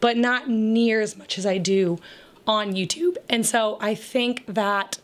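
A young woman with a raspy, crackly voice, chatting casually as a homemaking vlogger over her cleaning footage, rambling with fillers and little laughs. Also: a little high, slow.

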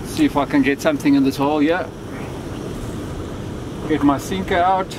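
A man's voice: a little high, normal speed. A man with a South African accent explaining fishing spots outdoors on a rocky seashore, recorded on a camera mic. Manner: relaxed explanatory talk while walking the rocks. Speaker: angler guide. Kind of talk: on-location fishing tips. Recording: loud wind and surf noise outdoors. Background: wind and crashing waves.

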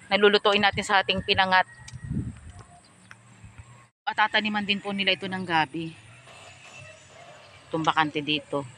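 A woman's voice: medium pitch, pushed and bright, a little hiss or hum. A middle-aged woman narrating a casual walking vlog outdoors in a farm field, talking politely and chattily with frequent fillers into a phone on a selfie stick. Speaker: vlogger.

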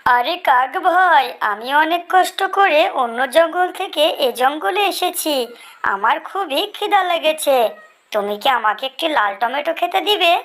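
A woman's voice: high-pitched, expressive, moderate pace, fairly bright tone, faint music behind. A woman voicing a small, sensible bird in a children's cartoon, earnest and admonishing. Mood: pleading.

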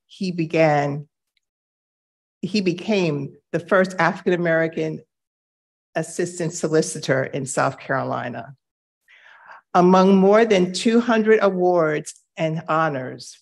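A middle-aged woman moderating a reading circle, speaking slowly and measuredly with self-deprecating asides, filmed from afar in a bright gallery room. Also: deep voice. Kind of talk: live event introduction and moderated conversation. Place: bright wooden-floored gallery room, indoors.

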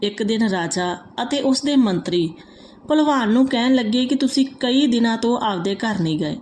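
Woman narrating a folk tale, higher voice. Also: lively intonation, moderate pace, a little mellow, slight background noise. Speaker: storyteller.

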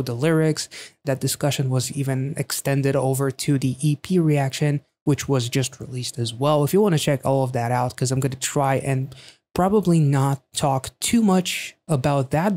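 A man in his thirties giving casual reaction commentary, thinking aloud in a halting, emphatic way, close to a studio microphone in a small room. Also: medium pitch, darkish tone. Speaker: reaction video host. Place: small indoor home studio. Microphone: close studio microphone on a stand.

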